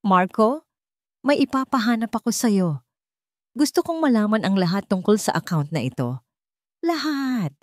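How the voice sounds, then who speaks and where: A woman's voice: medium pitch, very animated, normal speed, long pauses, no room sound, pitched above the performer's own voice, close to an everyday voice. A commanding male CEO from a romantic drama, voiced by a woman narrator. He is insistent and determined, and the sound is close and dry.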